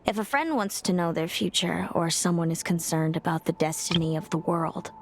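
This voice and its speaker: A woman's voice: fairly low pitch, moderate pace, slight background noise, natural voice. An actress playing a guarded, curt young woman who speaks of fate with cool reluctance in a game cutscene. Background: game score.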